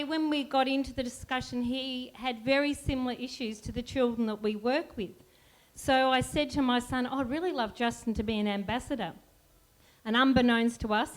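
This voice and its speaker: A woman from a charity giving a chatty speech at an event and telling how she met the people involved. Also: on the high side. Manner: chatty, tells it as a story. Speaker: charity representative.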